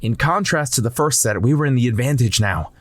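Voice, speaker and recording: A man's voice: mid-range voice, animated, brisk, soft background music. A man narrating a manga story in the first person from a script.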